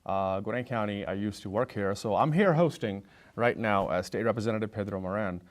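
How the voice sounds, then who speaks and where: A man hosts an interview and asks his guest questions. His voice sits on the low side, and he pauses on fillers as he goes. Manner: hesitant, with fillers.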